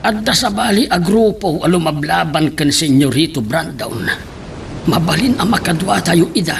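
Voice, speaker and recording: A man's voice: on the high side, lively intonation, normal speed, creaky. A man with an Ilocano accent playing a scheming older father in a radio drama. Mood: secretive, probing. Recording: noisy radio drama recording.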